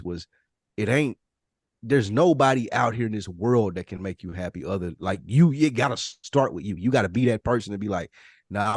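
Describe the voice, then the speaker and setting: A man with a low voice speaks as a podcast co-host in a casual panel discussion. His delivery is earnest and emphatic, circling back to repeat his points. The sound is dry, with very little room. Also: a little mellow, dry recording.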